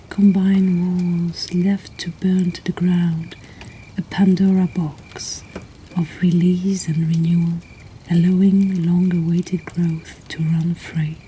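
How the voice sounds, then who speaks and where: A woman whispers in a breathy, soothing and intimate way, hosting and reading poetry in an ASMR style. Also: fairly low pitch, flat delivery, takes it slowly, dark tone, a little hiss or hum, poor audio. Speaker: host of a poetry reading series.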